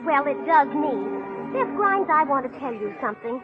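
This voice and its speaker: Haughty young lady in old radio play, high lilting voice, swoops around, muffled. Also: lively intonation, moderate pace. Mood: indignant. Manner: haughty, teasing. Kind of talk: 1930s radio acting. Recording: muffled 1930s radio broadcast, music behind.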